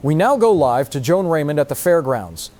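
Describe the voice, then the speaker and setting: A composed local TV anchor in a radio drama. His voice sits a little high for a man. He delivers the news in measured, formal phrases. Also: big pitch swings, darkish tone. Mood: composed, upbeat. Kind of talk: scripted broadcast news anchoring.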